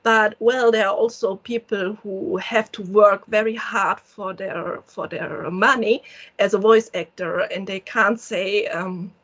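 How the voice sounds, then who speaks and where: A woman with a German accent, a solo game developer answering interview questions in halting English, often restarting her sentences. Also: medium pitch, fairly even intonation, fairly bright tone, highs cut off. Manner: halting, searching for words, frequent restarts.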